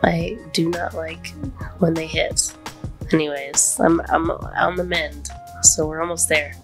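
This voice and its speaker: Congested woman with a gravelly voice. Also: low-pitched, little pitch movement, unhurried, over music. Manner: open and vulnerable, near tears. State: congested, voice deeper than usual. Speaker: podcast host. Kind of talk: casual heartfelt chat.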